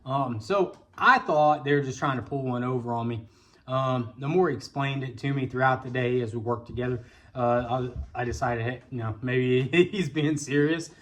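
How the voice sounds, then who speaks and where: A man's voice: medium pitch, normal speed, fairly bright tone. An American man with a folksy, colloquial way of talking, telling a casual personal story as a vlog host. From Indiana, United States. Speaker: vlog host, bowfishing guide.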